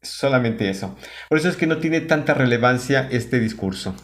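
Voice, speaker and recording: A man giving spontaneous, critical commentary as a reaction host, speaking in a halting, wordy way. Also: mid-range voice, animated. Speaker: commentator reacting to a religious broadcast.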